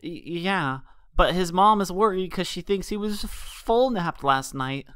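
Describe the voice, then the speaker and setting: A man's voice: high-pitched, expressive, a little slow, fairly bright tone, clear, resonant tone, no echo, clean studio sound, voice pushed up. A man voicing a frightened little boy pony in a storybook, stammering, breathless and pleading. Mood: shaky, stammering.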